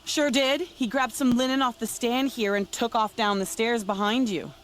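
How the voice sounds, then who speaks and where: A woman playing an excitable, indignant cloth merchant in a video game, with an emphatic way of talking. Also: fairly high pitch, moderate pace, a little hiss or hum, natural voice. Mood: helpful. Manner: emphatic, exclaiming.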